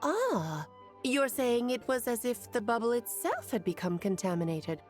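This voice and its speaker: A woman voicing an ageless, cheerful and wise witch who speaks in a lilting, gently teasing way. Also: fairly high pitch, big pitch swings, normal speed, faint music behind. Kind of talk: video game voice acting.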